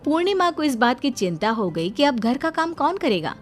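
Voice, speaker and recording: A woman's voice: sits high, big pitch swings, normal speed, slight background noise. A woman narrating a scripted family story as a storyteller. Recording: music under the voice.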